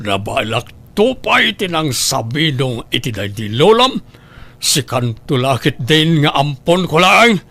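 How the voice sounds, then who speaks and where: A man's voice: fairly high pitch, big pitch swings, measured pace, gravelly, sharp tone, full character voice. Man playing a cruel, greedy, menacing father. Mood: cold, scornful. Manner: menacing, commanding. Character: cruel, greedy gambling father threatening his son. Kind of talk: Ilocano audio drama acting.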